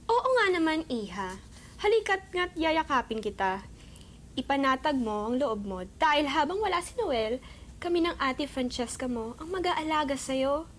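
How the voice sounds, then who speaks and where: A woman's voice: high voice, animated, moderate pace, a little hiss or hum. A woman with a Filipino accent playing a scheming, haughty wealthy mother in an amateur radio drama. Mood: falsely sweet.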